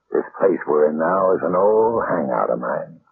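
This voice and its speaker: An actor playing a swaggering, sadistic killer in an old-time radio crime drama, talking in menacing tough gangster slang. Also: male voice, very deep voice, animated, slow pace, vocal fry, full character voice. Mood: gloating, menacing. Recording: tinny, noisy old radio recording.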